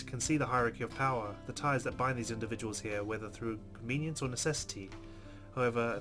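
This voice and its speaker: A man hosting a let's play, reading visual novel narration aloud. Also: medium pitch. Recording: music behind the voice.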